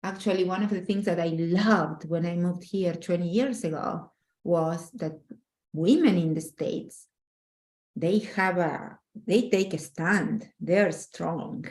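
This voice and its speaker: Woman, middle-aged, fairly low voice; earnest coach who restarts phrases. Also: takes it slowly, darkish tone. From Argentina. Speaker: relationship coach guest. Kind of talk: casual interview conversation.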